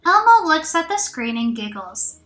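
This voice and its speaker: A woman reading a storybook aloud as a teacher would, expressive and child-directed, with encouraging asides. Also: sits high, normal speed. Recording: roomy echo, faint music.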